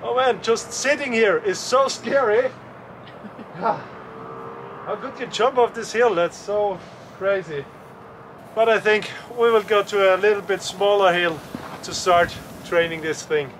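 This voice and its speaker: A man in his thirties hosting a casual skiing vlog, upbeat and quick to laugh, recorded on an action camera outdoors. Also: high voice. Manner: upbeat, laughs often, excitable. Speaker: vlog host, cross-country skier. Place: outdoors on snowy ski hills and in a changing room. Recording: noisy outdoor camera sound.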